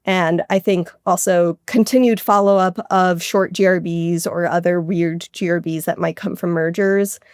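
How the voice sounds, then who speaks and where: A woman's voice: lowish voice, darkish tone, no echo, studio quality. A woman speaking as an astronomer guest in an interview, explaining technical things in a keen, informal, conversational way. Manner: keen, informal, technical with frequent hedging asides.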